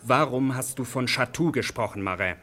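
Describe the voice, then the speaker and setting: A young male medical student from a radio play, as an actor plays him. His voice is forceful and sharp at medium pitch, and he comes across as touchy, hesitant and on the defensive. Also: lightly acted. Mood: angry, suspicious. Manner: touchy, hesitant, quick to bristle. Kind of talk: German radio play acting.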